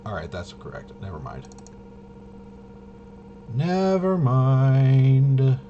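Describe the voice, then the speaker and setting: A man thinking aloud through a tabletop game walkthrough, casually muttering to himself in a halting way and trailing off. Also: medium pitch, huge pitch swings, warm tone, dull audio, a little hiss or hum. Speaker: solo tabletop RPG player demonstrating gameplay.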